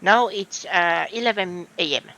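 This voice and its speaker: High, bright Finnish-accented man. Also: normal speed, low-quality recording. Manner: musing, hesitant, thinking aloud. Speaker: streamer. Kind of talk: casual gameplay commentary.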